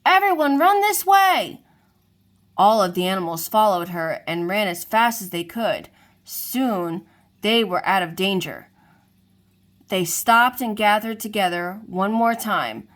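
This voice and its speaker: A woman reads a children's storybook aloud, lively and expressive as she narrates. Her voice sits at a middle pitch, neither high nor low. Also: unhurried. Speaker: storybook reader.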